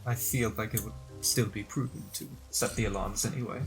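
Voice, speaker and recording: A man's voice: on the low side, steady tone, a little fast, low-quality recording. A man talking casually as a player in a tabletop game. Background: clear background music.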